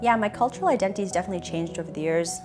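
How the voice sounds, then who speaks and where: A young woman from Canada with a Canadian accent, speaking reflectively in an interview, thoughtful and hesitant, often pausing and restarting. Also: lowish voice, music in the background. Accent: Canadian English.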